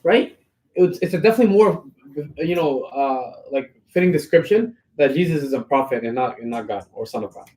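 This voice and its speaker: Fast-talking man in casual discussion. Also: mid-range voice, expressive, warm tone, reverberant. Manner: agreeable, often affirming the others.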